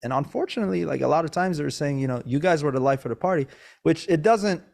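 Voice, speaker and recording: A man's voice: fairly high pitch, expressive, quick pace, vocal fry, darkish tone. A man hosting a podcast interview, born in Iran and raised in Maryland, talking casually with lots of fillers and restarts. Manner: rambling, with many fillers and restarts. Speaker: podcast host. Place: Sacramento, California.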